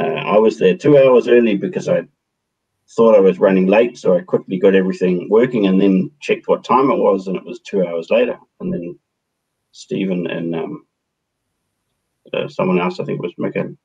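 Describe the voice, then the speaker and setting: Deep-voiced husky male call host, 30s, relaxed; dull headset audio. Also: normal speed. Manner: relaxed, chatty. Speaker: meeting host. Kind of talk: casual video call discussion. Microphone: headset on video call.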